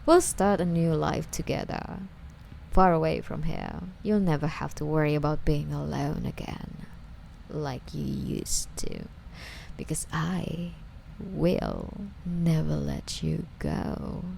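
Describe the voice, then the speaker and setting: Woman acting out a slow, mellow, obsessive yandere stalker. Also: deep voice, sing-song, slight background noise, heavily acted, poor audio. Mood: tender, possessive. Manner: slow, coy, breathy delivery slipping into whispers. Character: obsessive yandere female stalker, sweetly menacing, coddling her captive. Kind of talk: ASMR roleplay.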